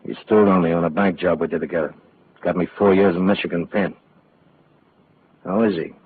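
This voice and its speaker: An actor playing a cold-blooded male gangster in a 1940s radio drama, quiet, sneering and menacing. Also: low voice, steady tone, fairly quick, slight background noise, close to an everyday voice. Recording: tinny old radio broadcast.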